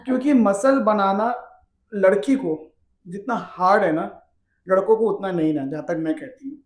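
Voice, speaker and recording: Woman with a naturally heavy low voice, blunt and defiant, answering interview questions. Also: moderate pace, a little mellow. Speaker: guest, female bodybuilder. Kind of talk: candid interview talk.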